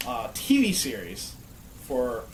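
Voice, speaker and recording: A man thinking aloud in halting phrases during a casual podcast chat with friends. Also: medium pitch, wildly swooping pitch. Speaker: podcast panelist. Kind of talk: casual round-table chat among friends. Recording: roomy echo, faint background noise.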